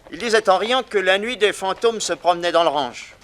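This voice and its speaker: An actor playing an excitable, bitter ex-convict with theatrical delivery in a French 1960s radio drama. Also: male voice, high-pitched, fairly quick. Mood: bitter, wry. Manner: theatrical, expressive storytelling. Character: excitable pardoned ex-convict, talkative, bitter, haunted by childhood. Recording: noisy old radio recording.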